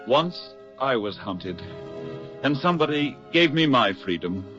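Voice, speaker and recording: A man's voice: mid-range voice, big pitch swings, a little slow. A man acting a withdrawn, haunted war veteran with terse, guarded delivery in a 1940s radio drama. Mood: haunted, brooding. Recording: muffled 1940s radio, faint music.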